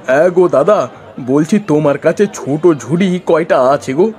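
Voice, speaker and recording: A man's voice: fairly high pitch, normal speed, darkish tone, natural voice. A man playing an earnest, eager village husband and small trader in a cartoon story. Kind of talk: Bengali cartoon story voice acting.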